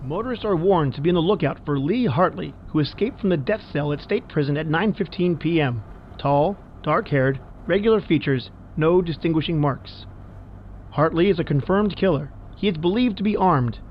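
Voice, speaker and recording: A man's voice: on the high side, moderate pace, dull audio. A man playing a grave, formal radio announcer giving an urgent 1950s-style news bulletin, heard through a car radio filter. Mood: grave warning. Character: grave male radio announcer reading an escaped-killer warning. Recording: band-limited car radio, clear noise.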